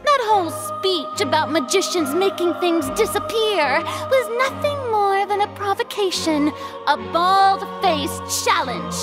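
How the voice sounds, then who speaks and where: Grandiose young game sovereign: very high voice, slow pompous declamation, accusatory, dramatic pauses, music behind. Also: female voice, sing-song, heavily acted. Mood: accusing. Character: theatrical young female ruler, haughty, grandiose and accusatory. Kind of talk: theatrical video game acting.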